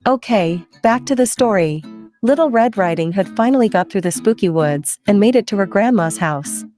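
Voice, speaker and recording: A woman's voice: mid-range voice, lively intonation, moderate pace, over music. A woman narrating an interactive children's story, playful and conversational, speaking directly to the kids.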